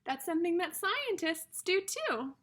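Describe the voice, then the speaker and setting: High bright lady teacher doing a read aloud for little kids, animated, asks simple questions and repeats stuff. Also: unhurried. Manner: instructional, addressing young children with simple questions and repetition. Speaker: early childhood teacher reading aloud. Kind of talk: children's read-aloud lesson.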